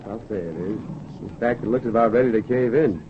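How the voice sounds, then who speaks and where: An actor playing a genial middle-aged small-town doctor and father in a 1930s radio drama, kindly and measured. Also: lowish voice, close to an everyday voice. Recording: muffled, hissy 1930s radio recording.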